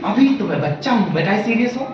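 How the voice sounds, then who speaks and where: A man's voice: high voice, quick pace, crowd noise. A man in his twenties giving a motivational stage talk to an audience, telling stories animatedly, acting out voices and sounds, and mixing English phrases into his speech. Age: 20s. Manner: animated storytelling, acting out voices and frog croaks; mixes English phrases into Hindi. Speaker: motivational speaker. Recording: echoey hall, muffled, light noise.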